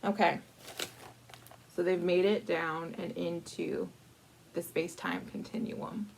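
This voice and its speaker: A woman hosting a casual storytime, chatty and informal, with playful, dramatic emphasis and drawn-out words as she tells a picture-book story. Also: lowish voice, fairly even intonation, gravelly, slight background noise, rough recording. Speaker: librarian storytime host.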